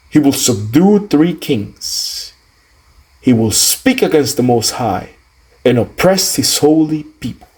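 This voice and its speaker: A man's voice: on the high side, a little mellow, breathy edge, rough recording. A man reading scripture dramatically in a solemn, declamatory manner, stretching out phrases for emphasis. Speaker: student reader of scripture.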